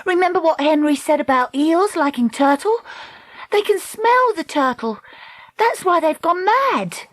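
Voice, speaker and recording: Woman playing a bossy, high-voiced young girl. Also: animated. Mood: urgent. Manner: commanding, brisk, exclaiming. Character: bossy, bold, stern young girl adventurer.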